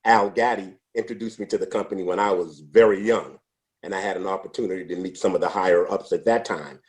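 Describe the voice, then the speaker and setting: A man in his sixties, a podcast interview guest, telling his life story in an unhurried, reflective way with frequent restarts. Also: sits low. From United States. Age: 60s. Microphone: podcast microphone.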